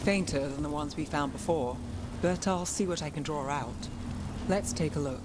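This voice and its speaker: An actress playing a dry, weary woman detective mage in a video game, with wry, measured delivery. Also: deep voice, background noise, natural voice. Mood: intent. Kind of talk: video game dialogue acting. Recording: music behind the voice.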